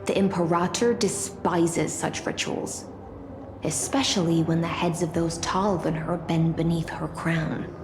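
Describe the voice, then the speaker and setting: A poised sea knight voiced by a woman speaks slowly in a lowish register. She is aloof and formal with a cool, wry edge, like a commander in a fantasy game, and her voice echoes over music. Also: fairly even intonation, natural voice. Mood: composed, commanding. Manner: measured, formal, coolly wry. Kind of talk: video game voice acting.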